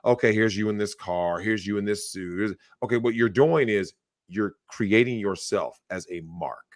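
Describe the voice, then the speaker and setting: A man's voice: fairly low pitch, moderate pace, no room sound, studio quality. A man giving blunt opinions on a livestream, talking emphatically, swearing and acting out the people he quotes. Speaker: guest.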